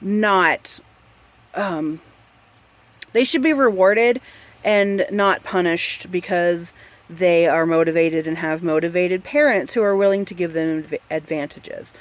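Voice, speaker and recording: A woman host giving opinion commentary in a blunt, exasperated and emphatic manner. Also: mid-range voice. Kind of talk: opinion commentary on a news article.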